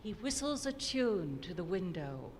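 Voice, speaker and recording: A woman giving a dramatic poetry recitation, theatrical and deliberate, swinging between hushed and declamatory delivery. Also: on the low side, very animated. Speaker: poetry performer. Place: opera house hall, live performance. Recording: noisy recording in a reverberant hall.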